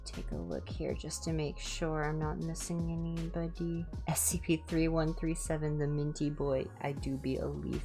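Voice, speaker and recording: A woman hosting a livestream, chatting casually in ASMR style with a low-key, rambling, self-deprecating manner. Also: moderate pace, few pauses, over music. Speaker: livestream host and reader.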